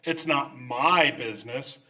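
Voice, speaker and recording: A man's voice: medium pitch, slow pace, bright, forceful tone. A man playing a cold, miserly old businessman who is curt and scornful, in old-time radio drama style. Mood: dismissive. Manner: curt, scornful, barking orders.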